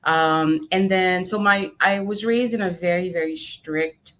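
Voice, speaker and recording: A middle-aged woman with a Haitian accent, talking as a guest on a video call interview. Her voice sits a little low, and she rambles warmly through her story with fillers and small laughs, slipping into voices for quoted people. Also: measured pace. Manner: chatty, many fillers and laughs, acts out quotes. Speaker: guest, arts nonprofit director. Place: home room, video call.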